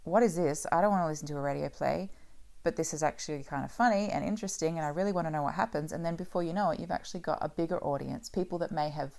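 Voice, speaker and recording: A woman's voice: low voice, rapid-fire, gravelly, a little mellow. A woman co-hosting a podcast in casual discussion, arguing her case point by point. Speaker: podcast host.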